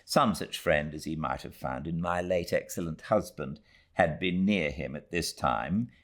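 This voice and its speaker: A prim, sanctimonious middle-aged housekeeper read by a British man gives her account in an audiobook. The voice is deep, with very animated intonation and formal, proper delivery. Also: full character voice. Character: prim, self-righteous middle-aged widowed housekeeper narrating her testimony. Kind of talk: classic novel audiobook narration in character.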